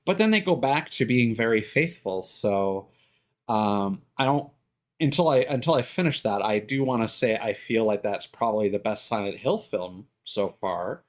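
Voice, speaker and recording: A man streaming live, talking casually and giving his opinions in a halting way, circling back over his words. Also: on the low side, expressive. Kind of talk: casual opinionated rambling on a livestream.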